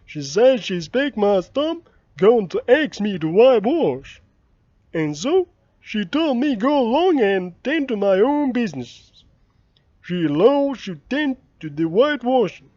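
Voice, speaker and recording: A man's voice: high voice, sing-song, measured pace, a little mellow, dry recording, pitched above the performer's own voice. A man voicing a timid, nervous young boy who pleads anxiously, in a dull, dry recording.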